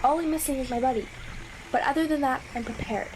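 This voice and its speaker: High-voiced plucky girl scout played by a woman. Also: quick pace, lightly acted. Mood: determined. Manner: childlike, earnest, self-reassuring. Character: plucky young girl scout, alone, anxious but determined. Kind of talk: audio drama monologue as radio transmission. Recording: clearly noisy radio transmission with ambience.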